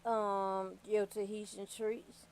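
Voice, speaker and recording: A woman's voice: medium pitch, little pitch movement, slow pace, darkish tone, clean tone. A woman chatting casually as a co-host on a radio show. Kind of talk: casual banter.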